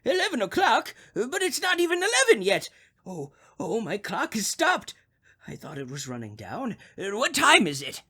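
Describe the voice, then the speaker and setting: Woman playing a crotchety sick man in a radio play, jittery and stuttery, high husky voice. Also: huge pitch swings, moderate pace, bright, forceful tone, full character voice. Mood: agitated, distressed. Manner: stammering, agitated, repeats words. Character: crotchety, nervous invalid man, panicking and querulous. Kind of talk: radio drama acting.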